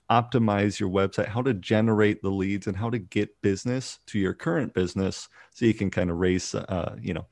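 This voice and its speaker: A man's voice: on the low side, vocal fry, a little mellow, no echo, clean studio sound. A man hosting a podcast, speaking hesitantly and filling his sentences with "you know" and "um". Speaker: podcast host. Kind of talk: casual podcast interview.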